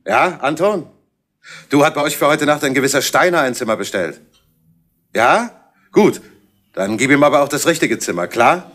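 An actor playing a sly, bossy private detective in a 1970s radio crime play. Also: male voice, mid-range voice, animated, normal speed, long pauses, a bit bright, slight background noise, close to an everyday voice. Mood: scheming.

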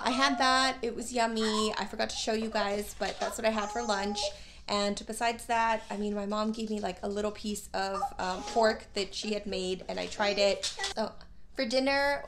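A woman's voice: medium pitch, vocal fry, background noise. A woman in her thirties, chatting casually to her phone camera at home and in the car, rambling with fillers and little laughs. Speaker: vlogger, mom. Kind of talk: casual daily vlog chat. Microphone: phone camera at arm's length.

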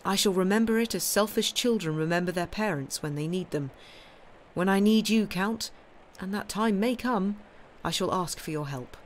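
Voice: medium pitch, moderate pace, slight background noise, pitched above the performer's own voice, natural voice. A reader doing an eager young captain. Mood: earnest. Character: young army captain, earnest and lovestruck. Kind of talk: audiobook dialogue.

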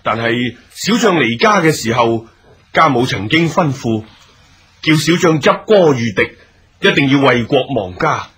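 Husky, lively, loyal young officer. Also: male voice, mid-range voice, measured pace, full character voice. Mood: resolute, devoted. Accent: Hong Kong Cantonese. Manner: deferential, formal, earnest. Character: loyal, dutiful, brave junior general in ancient China. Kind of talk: theatrical radio drama acting.

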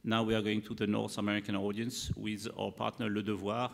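Deep, halting Frenchman on a panel. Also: flat delivery, creaky. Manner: halting, searching for words in English. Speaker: media executive, panel speaker. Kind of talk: conference panel presentation. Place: conference hall, indoors. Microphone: table gooseneck microphone. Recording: echoey hall, panel microphone.

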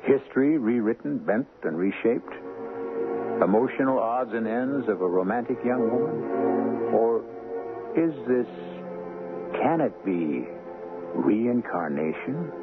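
An actor playing an urbane radio mystery host, narrating with dramatic pauses and probing questions. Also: male voice, medium pitch, expressive. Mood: musing, dramatic. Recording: muffled phone-like 1970s radio, music behind.

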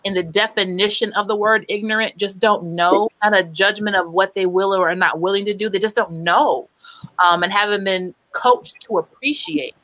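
A middle-aged woman moderating a video call discussion in a reflective way, with gentle pauses. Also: medium pitch, dry recording, rough recording.

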